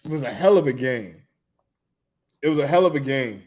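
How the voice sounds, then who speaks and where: A man, talking loosely and excitedly about basketball as a podcast co-host in casual chat. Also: mid-range voice, normal speed. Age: 40s.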